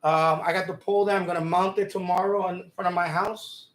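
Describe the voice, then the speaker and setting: A middle-aged man hosting a livestream in casual, rambling chat, halting often and repeating himself informally. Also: sits high, fairly even intonation, low-quality recording. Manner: halting, repetitive, informal speech. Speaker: livestream host.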